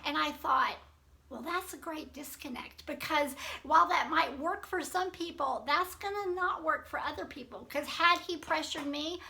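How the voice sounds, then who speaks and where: A woman coach teaching leadership in an earnest, steady way, her voice gravelly from allergies and heavy with fry. Her tone is bright and forward, and she walks through her points in lists with the odd um. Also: sits high, normal speed. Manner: earnest, repeats points in lists, many ums. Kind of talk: recorded teaching talk.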